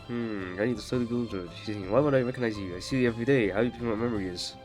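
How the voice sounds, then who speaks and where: A man's voice: mid-range voice, rapid-fire, over music. A man making casual, joking asides while reacting to a video game.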